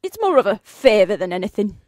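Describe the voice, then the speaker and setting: A woman's voice: medium pitch, very animated, brisk, darkish tone, no room sound, clean studio sound, lightly acted. A woman playing a polite, breezily demanding office boss in a radio play, speaking in a formal, officious way. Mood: brisk, breezy.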